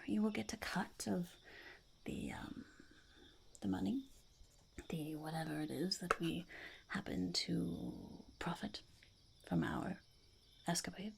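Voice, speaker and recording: Casting: woman to play sly goblin boss for ASMR roleplay, whispery with very heavy vocal fry, pompous yet halting, slight background noise. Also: on the low side, heavily acted. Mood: reassuring, wheedling. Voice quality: whispery, breathy, creaky. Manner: halting, speaks of self in third person, mock-formal. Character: scheming, self-important goblin interviewing would-be henchmen.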